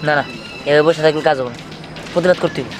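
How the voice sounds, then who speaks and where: A man's voice: on the high side, rapid-fire, music in the background, lightly acted. A man voicing a boastful, slippery young lover who blusters and protests in a Bengali comedy cartoon. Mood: blustering.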